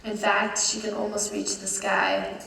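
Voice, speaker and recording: A woman's voice: mid-range voice, monotone, measured pace, creaky, sharp tone, a little hiss or hum. A woman reading literary prose aloud as a recitation. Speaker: reader of a literary text. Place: large dark theatre, heard from far off. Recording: distant, echoey stage recording with music. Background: music.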